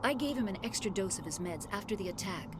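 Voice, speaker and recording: A woman's voice: mid-range voice, expressive, quick pace, background noise, lightly acted. A woman voicing a shaken middle-aged female lead scientist who explains things earnestly and apologetically.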